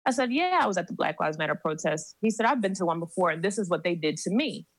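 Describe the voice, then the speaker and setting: A woman guest on a podcast, telling a personal story in a measured way with many pauses. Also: on the low side, expressive, dry recording. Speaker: seminary student. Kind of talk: recounting a personal story in conversation.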